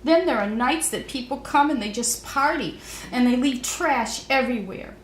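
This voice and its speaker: A woman's voice: high-pitched, expressive, normal speed, voice pushed up. A woman voicing a forlorn, aggrieved statue woman in a children's storybook, in a plaintive, emphatic way. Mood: sobbing, aggrieved. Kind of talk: children's storybook read-aloud. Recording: roomy echo, faint background noise.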